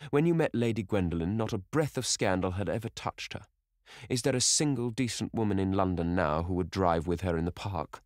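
A man's voice: deep voice, a little fast, no echo, studio quality, natural voice. Man acting out an anguished pleading painter. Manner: earnest, pleading, rising to urgent outbursts. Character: anguished older male painter pleading with friend.

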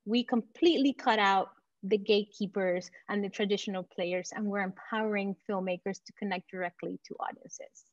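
Confident woman pitching over dull video-call audio. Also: steady tone, moderate pace, dry recording. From Venezuela. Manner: confident, enthusiastic, promotional. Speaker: company founder pitching to investors. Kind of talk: startup pitch presentation.

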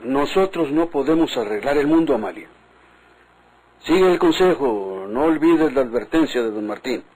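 An actor playing an anxious, deferential Mexican village husband in a vintage radio drama, cautious and meek, on a noisy old recording. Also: male voice, medium pitch, lively intonation, normal speed, a little mellow, lightly acted. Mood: nervous, scolding.

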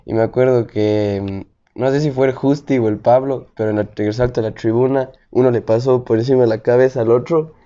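A young man, telling racing stories slowly and thoughtfully in a casual chat. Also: mid-range voice, steady tone, mellow, highs cut off. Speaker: guest rider.

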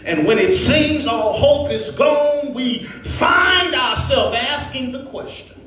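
A man's voice: very high-pitched, lively intonation, soft background music. A man preaching a sermon in an impassioned, oratorical way, using rhetorical repetition and addressing his congregation. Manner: impassioned, oratorical, builds from measured phrases to shouts. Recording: echoey hall, distant microphone.

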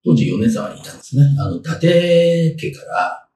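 Casting: man, 60s, expert guest, halting explainer with animated intonation, recorded roomy and distant. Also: fairly high pitch. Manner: halting, frequent pauses while reasoning aloud. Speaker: guest historian. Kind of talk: explanatory interview answers, conversational. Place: small indoor room, seated at a table. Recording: roomy, distant mic, faint noise.

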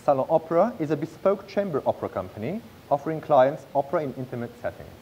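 Man reading a business pitch on stage. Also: sing-song, fast, dark tone, dull audio, slight background noise. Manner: reads from a script, some slips and restarts. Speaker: presenter pitching a project. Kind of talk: read-aloud business pitch. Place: large theatre stage.

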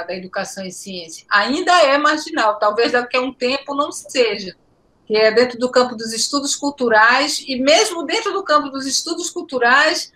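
A woman's voice: fairly high pitch, bright, forceful tone. A woman in her forties from Pará, Brazil, a professor answering interview questions over a video call from home, reflective and explanatory, often repeating words as she searches for the next thought. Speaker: education professor, guest. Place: home room indoors. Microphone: laptop webcam on video call.

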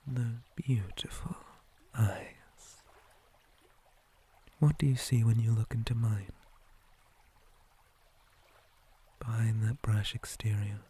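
A man voicing a possessive, menacing spider monster captor in an ASMR roleplay, mostly in a breathy whisper with deliberate, theatrical menace. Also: lowish voice, warm tone, a little hiss or hum. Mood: predatory.

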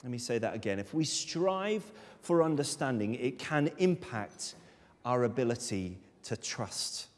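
A man's voice: mid-range voice, animated, measured pace. A man in his thirties preaching a sermon at a lectern in a church, earnest and emphatic. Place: church sanctuary, speaking at a lectern. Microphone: far camera. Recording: long church reverb.

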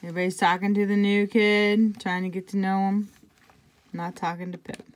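A woman reading a picture book aloud to children, conversationally, pausing for casual asides and check-in questions. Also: medium pitch, flat delivery. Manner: conversational, pointing things out and asking check-in questions. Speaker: intervention specialist reading to children.

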